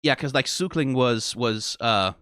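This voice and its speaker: A man giving off-the-cuff reaction commentary, informal and emphatic with casual swearing, rambling and halting as if short on sleep. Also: medium pitch, sharp tone, clean tone, no room sound, studio quality. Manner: rambling, halting, trailing off mid-thought. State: tired after being awake for twenty hours. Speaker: host of a reaction video.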